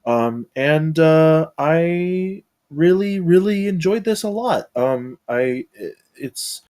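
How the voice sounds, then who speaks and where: A man's voice: a little high, animated, takes it slowly, darkish tone. A man giving casual, hesitant spoken feedback on a script, thinking aloud.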